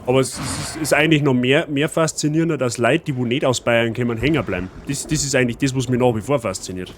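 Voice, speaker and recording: A man's voice: mid-range voice, fast talker. A man with a Bavarian accent chatting casually with his viewers while playing a game. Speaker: streamer. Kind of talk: casual let's-play chat. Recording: noisy stream audio.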